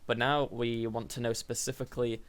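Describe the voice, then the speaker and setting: Slightly croaky young man thinking aloud. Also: mid-range voice, level tone, moderate pace, a bit bright. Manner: thinking aloud, rambling with fillers and self-corrections. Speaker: programming tutorial host. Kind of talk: casual screen-recorded coding tutorial.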